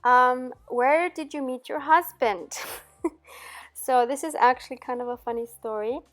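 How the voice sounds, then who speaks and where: A middle-aged woman raised in Austria, answering viewer questions in a relaxed vlog with many "um"s and little laughs. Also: high voice, measured pace. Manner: chatty, frequent "um" and soft laughs. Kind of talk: casual Q&A chat.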